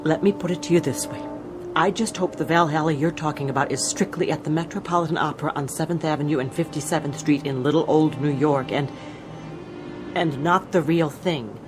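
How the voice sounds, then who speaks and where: A senior flight attendant played by a woman speaks in a fairly low voice. She is composed and firm with worry held back, in a radio drama under a strong score. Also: brisk, natural voice. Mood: grave, controlled. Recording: dramatic music behind.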